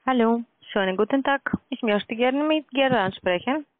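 A non-native German speaker with a foreign accent making a formal, halting sales call as a call centre agent. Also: medium pitch, dry recording. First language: not German. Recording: tinny dry phone line.

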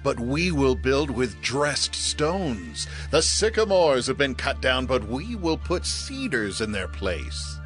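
A man's voice: medium pitch, big pitch swings, slow, hardly any pauses, fairly bright tone, soft background music. A man playing a proud, arrogant, defiant Israelite in a dramatized audio Bible, declaiming boastfully.

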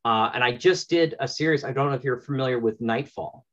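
A Canadian man in his fifties tells stories in an enthusiastic, conversational way, often restarting and repeating words. His voice sits at a medium pitch. The sound is slightly dull, like a home video call. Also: a little fast, fairly bright tone. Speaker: interview guest, audio drama producer. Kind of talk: casual chat, telling anecdotes.

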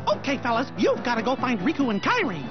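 A brave little mouse king in high falsetto, kindly and squeaky. His pitch bounces widely. Music plays clearly behind the slightly dull game audio. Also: wildly swooping pitch, fast, heavily acted. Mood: reassuring, urgent.

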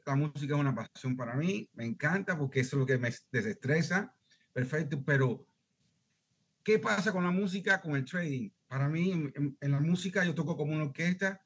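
A man's voice: a little high, husky. A middle-aged man with a Cuban accent, speaking on a headset from home in an online class Q&A, earnest and talkative, checking he is understood. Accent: Cuban Spanish. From Cuba. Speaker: student asking a question. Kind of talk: video call Q&A. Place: home room, indoors.

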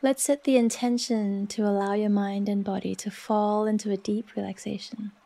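A woman leading a guided relaxation meditation in a soft, soothing, unhurried manner with long pauses. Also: mid-range voice, level tone, vocal fry. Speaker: yoga nidra meditation guide.

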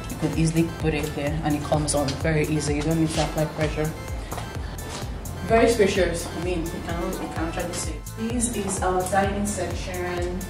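A woman's voice: low-pitched, level tone, moderate pace, recorded from a distance. A young Nigerian woman giving an enthusiastic, exclamatory walkthrough of a house, recorded on a camera a few steps away. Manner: gushing, exclamatory, repeats praise. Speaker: vlogger giving a house tour. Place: empty furnished house, indoors, echoey rooms. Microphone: camera mic, filmed from a few steps away. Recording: roomy echo, off-mic, loud music bed.

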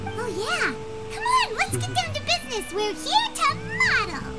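A tiny fairy girl sidekick from a video game, extremely high and squeaky. She is bubbly and eager, her pitch bouncing around, with loud music underneath. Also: huge pitch swings, measured pace, full character voice. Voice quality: squeaky childlike voice. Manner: bubbly, speaks of herself in third person. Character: tiny childlike floating fairy girl companion, chirpy and eager. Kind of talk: scripted video game voice acting.

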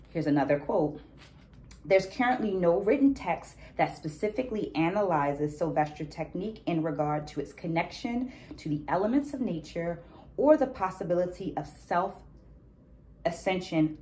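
A middle-aged woman talking to camera about a book in a deliberate, articulate, explanatory manner. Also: on the low side, darkish tone. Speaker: educator and book reviewer. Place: small indoor room at home. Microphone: phone camera a short distance away.

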